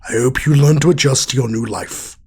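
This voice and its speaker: An actor playing a weary, stern old warrior mentor in an audio drama, solemn and deliberate, with a raspy voice. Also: male voice, mid-range voice, darkish tone. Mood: solemn, resigned. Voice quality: husky, raspy.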